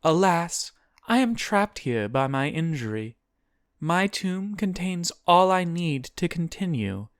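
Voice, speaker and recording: A narrator voicing a huge, ancient female demon who speaks slowly and formally, intimate with kin and contemptuous of mortals. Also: mid-range voice, very animated, clear, resonant tone, dry recording, clean studio sound, pitched above the performer's own voice. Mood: regretful, commanding. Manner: slow, formal, archaic phrasing.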